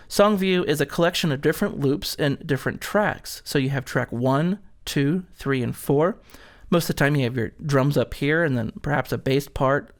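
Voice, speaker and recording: A man presenting an instructional product demonstration, explaining things step by step. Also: a little high.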